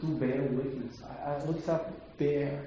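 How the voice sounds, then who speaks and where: A man from the Czech Republic, a native Czech speaker with a Czech accent, answering audience questions in a halting, casual way with many fillers and restarts. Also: on the high side, steady tone, warm tone, dull audio. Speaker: Bible translator answering questions. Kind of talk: casual Q&A talk. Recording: very echoey hall, faint noise.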